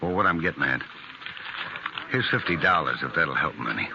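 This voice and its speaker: An actor playing a polite, soft-hearted American frontier gambler in a 1950s radio western, speaking haltingly and sincerely. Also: male voice, very deep voice, lively intonation, gravelly, noisy background, heavily acted. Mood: sincere, courteous. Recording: muffled old radio broadcast.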